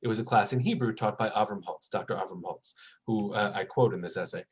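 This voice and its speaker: A man's voice: lowish voice, quick pace, slightly rough. A man in his forties, a rabbi and author, talking in a conversational interview and telling stories that act out the people he quotes. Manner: warm storytelling, acts out quotes.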